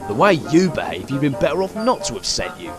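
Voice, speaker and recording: A man voices a sensible train engine in an audio drama, scolding a workmate. The intonation moves up and down a lot, with music clearly behind it. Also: medium pitch, sing-song, a little fast, natural voice. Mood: reproving. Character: reproving, sensible male narrow-gauge engine workmate. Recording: music under the voice.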